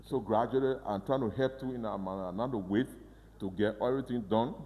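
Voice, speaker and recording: A man guiding a museum tour, earnest and halting, often repeating and restarting words. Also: on the low side, warm tone, in a large hall, a little hiss or hum. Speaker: museum guide. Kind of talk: guided tour interview.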